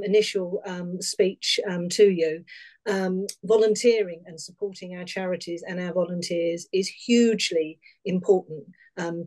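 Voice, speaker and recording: A British woman with a croaky voice speaks over a video call. Her voice sits slightly low. She talks earnestly and says um often. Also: a little mellow. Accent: British English. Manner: earnest, lots of ums. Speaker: lieutenancy staff. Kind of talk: webinar presentation.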